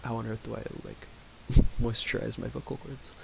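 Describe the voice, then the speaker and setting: A young man talking quietly in a raspy voice, rambling with fillers as he thinks aloud while making music. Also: medium pitch, level tone, moderate pace. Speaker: music producer streaming. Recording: home recording with audible hiss.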